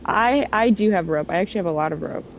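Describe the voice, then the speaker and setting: A woman's voice: on the low side, animated, fast talker, a loud crowd. A woman chatting casually as a player in a tabletop role-playing game. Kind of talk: casual chat. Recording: dull, slightly noisy recording.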